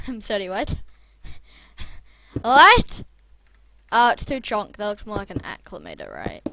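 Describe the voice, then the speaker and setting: A young YouTuber with a croaky voice, rambling casually and chatting through a building video. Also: mid-range voice, huge pitch swings, slow pace, long pauses, bright, forceful tone, a little hiss or hum. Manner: rambling, chatty, self-deprecating. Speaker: Minecraft YouTuber. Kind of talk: casual gameplay commentary.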